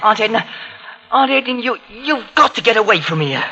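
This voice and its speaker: An actor playing a guilt-ridden young man in an old-time radio drama, halting and stammering. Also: high voice, lively intonation, pushed and bright, dull audio, full character voice. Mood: desperate, panicky. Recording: vintage radio recording.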